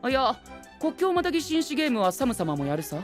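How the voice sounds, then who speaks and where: A man playing a prim, rigid young gentleman knight in an anime-style voice drama, formal and pompous, sprinkling in English exclamations. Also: extremely high pitch, wildly swooping pitch, brisk, faint music behind, full character voice. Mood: insistent, self-assured.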